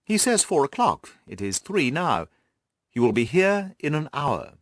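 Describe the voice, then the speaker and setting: A man voicing a shrewd, self-assured male detective in an audiobook, speaking in a precise, formal, animated manner. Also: a little high, unhurried, no echo, clean studio sound, pitched above the performer's own voice. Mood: businesslike. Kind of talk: classic detective audiobook dialogue.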